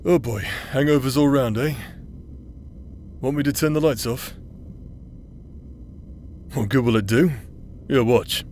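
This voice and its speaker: A man's voice: mid-range voice, measured pace, long pauses, darkish tone, slight background noise, natural voice. A man playing a cocky but caring rogue in an audio roleplay, talking in a casual, teasing, easygoing way. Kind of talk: scripted audio roleplay acting.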